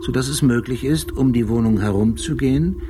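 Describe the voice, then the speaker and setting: A man's voice: mid-range voice, fairly quick, over music, lightly acted. An actor playing a brooding, obsessive male police detective in a radio drama, weary and haunted, speaking in terse, meticulous phrases. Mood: detached, meticulous. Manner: terse fragments, meticulous describing. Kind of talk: German radio drama monologue and dialogue. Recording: clearly noisy background.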